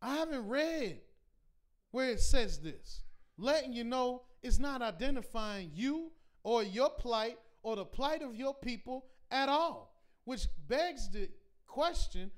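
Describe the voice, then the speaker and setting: A man teaching a scripture class in a preaching style, reading verses slowly and pressing his points with repeated rhetorical questions. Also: extremely high pitch, lively intonation. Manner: emphatic, repetitive rhetorical questions; slow deliberate scripture reading.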